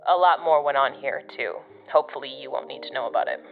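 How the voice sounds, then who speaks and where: Audio drama: sardonic female agency operative, low and casual, guiding over a dull radio link. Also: fast talker, vocal fry, soft background music. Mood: matter-of-fact. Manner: dry, sarcastic, casual. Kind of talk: audio fiction podcast acting.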